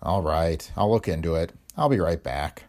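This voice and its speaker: A man's voice: sits low, moderate pace, a little mellow, voice pushed down, lightly acted. A man voicing a dry, pompous male hotel detective who speaks in a formal, long-winded way.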